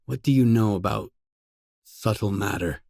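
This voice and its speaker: A man's voice: on the low side, moderate pace, creaky, a little mellow, no room sound, clean studio sound. A man playing a guarded, determined post-apocalyptic stalker in a video game, speaking in a terse, probing, matter-of-fact way. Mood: serious, intent. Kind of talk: isolated video game dialogue lines.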